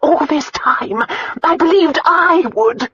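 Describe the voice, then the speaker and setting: Shrill, wailing countess aunt. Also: female voice, very animated, fairly bright tone, husky, dry recording, lo-fi sound, exaggerated voice. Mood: despairing wail. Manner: wailing, whining, indignant, drawn-out words. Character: shrill, self-pitying, greedy older aunt, a countess. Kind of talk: audiobook character acting.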